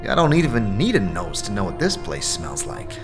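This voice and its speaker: A man's voice: fairly low pitch, huge pitch swings, normal speed, music in the background. Man voicing a wisecracking, sarcastic talking skull. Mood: sarcastic grumbling. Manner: stammering, sarcastic. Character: wisecracking floating talking skull, anxious complainer, male.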